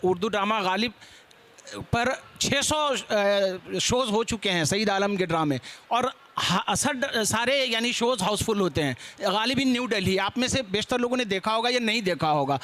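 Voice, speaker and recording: A man's voice: high-pitched, pushed and bright. A man speaking as a panelist at a seminar, talkative and given to digressions.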